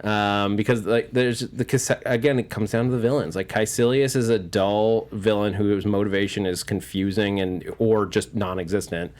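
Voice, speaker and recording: A man's voice: fairly low pitch, fairly even intonation, normal speed, few pauses, darkish tone. A man talking casually as a panelist on a movie talk show, giving opinionated, analytical takes in a fan discussion.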